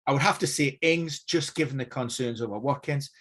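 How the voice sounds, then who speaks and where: Measured man giving expert analysis. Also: mid-range voice, fairly bright tone. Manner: measured, hedging, many fillers and restarts. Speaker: injury news expert. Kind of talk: casual expert analysis on a live stream.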